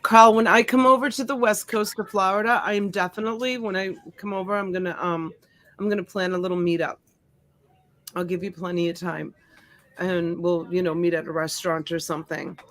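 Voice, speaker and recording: A woman's voice: fairly low pitch, steady tone, fairly quick, a bit bright, dry recording. Chatty middle-aged woman, Italian native. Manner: chatty, greets viewers by name, many fillers. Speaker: live auction host. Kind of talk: casual live-stream chat. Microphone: USB desk mic.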